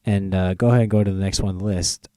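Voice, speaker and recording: Mellow young man in casual podcast chat. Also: deep voice, steady tone, fast, clear, resonant tone, dry recording, studio quality. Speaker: podcast host.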